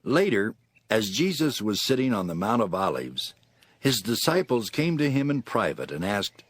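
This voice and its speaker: A male narrator reads scripture from a script. His voice is fairly low and husky, with lively rises and falls, in a dry, close studio sound. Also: moderate pace, dry recording.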